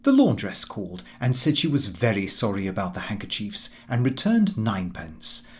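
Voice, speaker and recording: A man's voice: on the low side, huge pitch swings, normal speed. A man voicing a pompous, fussy middle-aged Victorian clerk in comic audiobook narration, prim and precise in his delivery. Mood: earnest, grudging. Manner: prim, self-important, precise.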